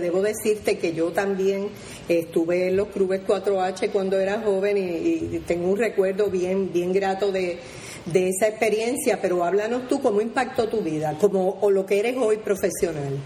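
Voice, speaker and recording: A woman host with a warm, mellow voice asks questions and turns to address her listeners as friends. The sound is roomy and echoey. A faint background ambience runs under her. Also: medium pitch, moderate pace, a little hiss or hum. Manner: friendly, addresses audience as "amigos". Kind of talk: radio interview, addressing listeners.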